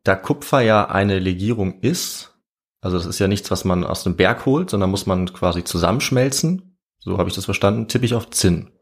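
A man with a fairly low voice hosts a podcast. He talks in a casual, conversational way, as in a relaxed exchange with a co-host. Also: normal speed.

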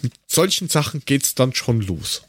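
A man's voice: mid-range voice, sing-song, unhurried. A man chatting casually as co-host of a podcast.

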